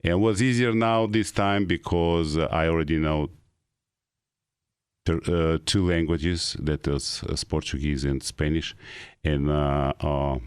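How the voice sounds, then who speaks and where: Middle-aged male guest, Russian accent, very deep voice, hesitant broken English. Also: fairly even intonation, clear, resonant tone, no room sound, clean studio sound. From North Ossetia, Russia. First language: Ossetian. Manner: halting English with frequent uh pauses. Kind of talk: casual interview.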